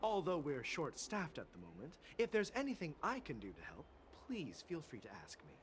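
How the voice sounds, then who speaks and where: Polite, very animated clerk. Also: male voice, a little high, moderate pace, a little hiss or hum. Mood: apologetic, helpful. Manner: polite, apologetic. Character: courteous, helpful male government clerk. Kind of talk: video game character acting.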